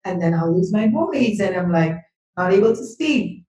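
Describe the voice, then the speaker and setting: A woman's voice: mid-range voice, animated, normal speed, dark tone. Earnest woman guest in a roomy echo. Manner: earnest, emphatic, speaks of energy and healing. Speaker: podcast guest, business school owner and healer. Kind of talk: reflective personal sharing. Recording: roomy sound from a distant mic.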